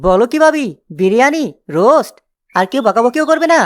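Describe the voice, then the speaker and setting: A woman's voice: high-pitched, animated, brisk. A woman voicing a lazy, gluttonous young village man in a cartoon story, whining and carefree. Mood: eager. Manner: whining, carefree, dreamy about food.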